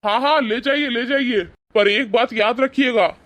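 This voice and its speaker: A man acts out a young husband in a cartoon moral story, with a very high-pitched voice. He is angry and rude, scolding his wife and in-laws in an indignant, accusing way. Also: normal speed, a bit bright, no echo. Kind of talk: animated moral-story dialogue.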